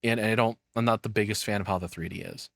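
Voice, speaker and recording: A man's voice: on the low side, steady tone, quick pace, creaky, dry recording, clean studio sound. A man giving casual, opinionated commentary, rambling and swearing bluntly as he goes. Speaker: streamer reacting to a video.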